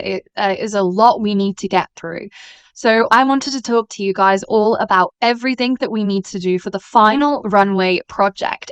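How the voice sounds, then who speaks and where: Haughty school figure acted by a woman: crisp, mid-pitched, very dry recording, scripted delivery. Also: no echo, natural voice. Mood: firm announcing. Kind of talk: scripted drama acting.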